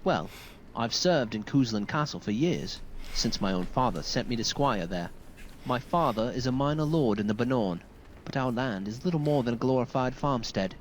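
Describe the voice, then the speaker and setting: A man's voice: mid-range voice, close to an everyday voice. Guy playing a polite earnest young knight in a fantasy game, formal talk, some noise behind. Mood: courteous, earnest. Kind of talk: video game dialogue acting.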